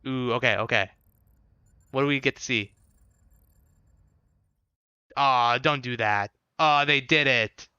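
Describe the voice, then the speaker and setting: Bright-voiced man chatting on a stream. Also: medium pitch, slow, long pauses, clean tone, dry recording, muffled recording. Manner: thinks aloud, chatty with viewers. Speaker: live streamer. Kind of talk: casual live stream reaction chat.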